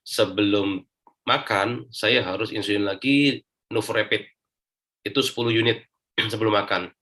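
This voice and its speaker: A man with a fairly low voice and an Indonesian accent speaks as an interview guest. He gives his own account casually, explaining it step by step in colloquial speech. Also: normal speed, bright, forceful tone. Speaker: interview guest giving a personal testimonial. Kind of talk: casual spoken personal account.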